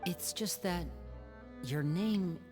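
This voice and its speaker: A man's voice: high-pitched, slow, over music. A man voicing a clever young magician in an anime game, decisive and theatrically coaxing. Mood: serious, decisive. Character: clever young male magician, take-charge and smooth.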